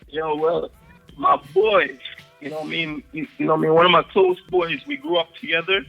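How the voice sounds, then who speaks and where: A young man with a Jamaican accent, a guest artist chatting casually in an interview, relaxed, and often saying "you know what I mean". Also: fairly high pitch, normal speed, vocal fry, pauses often, highs cut off, soft background music.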